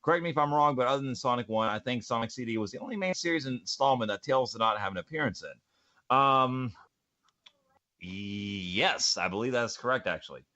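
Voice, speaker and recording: A young man hosting a podcast, animated and goofy, giving opinionated list commentary with casual banter. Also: mid-range voice, a little fast, lo-fi sound. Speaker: podcast host and amateur voice actor.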